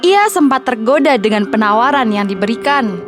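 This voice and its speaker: Female narrator, high register, scripted kids' storytelling with background music. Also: expressive, normal speed.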